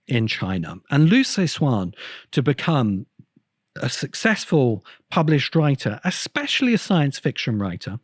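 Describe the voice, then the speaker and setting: A man talking through an unscripted video essay in a mid-pitched voice. His intonation moves widely, and he explains deliberately, leaning on pauses for emphasis. The sound is close and dry. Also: no echo. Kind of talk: unscripted spoken commentary.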